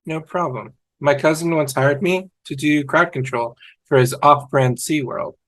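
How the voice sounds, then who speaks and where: A man's voice: on the high side, unhurried, a bit bright. A man playing a cheerful, dim-witted young man in a scripted comedy read over a video call. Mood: earnest, oblivious. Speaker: fan table-read actor.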